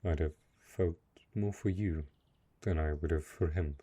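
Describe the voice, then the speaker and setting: Man acting as a low-voiced, brooding dark knight. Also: a little slow, breathy edge, full character voice. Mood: soft, coaxing. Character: brooding, possessive, lonely dark knight, male castle host. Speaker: voice actor. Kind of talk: dramatic roleplay audio monologue.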